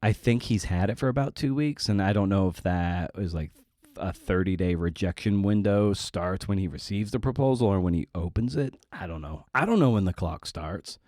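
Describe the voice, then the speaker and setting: A man's voice: low-pitched, normal speed, darkish tone, no room sound, studio quality. A middle-aged man hosting a podcast, talking casually and confessionally in a self-deprecating, rambling, sweary way. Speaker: podcast host.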